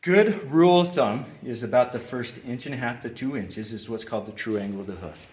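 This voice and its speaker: A man's voice: medium pitch, brisk. A middle-aged man working as a farrier, patiently explaining a hoof trim while he works bent over a horse's foot in a barn. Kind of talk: hands-on trimming demonstration. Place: roomy barn with concrete floor. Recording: echoey barn.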